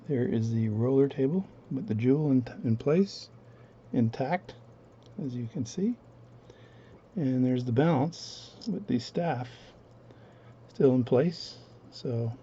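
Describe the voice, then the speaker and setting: Man, low voice, mellow, relaxed rambling demo, home workbench, muffled lo-fi camera sound. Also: slight background noise. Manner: relaxed, rambling, self-narrating each step. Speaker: watchmaking hobbyist demonstrating. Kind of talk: casual bench demonstration, talking while working.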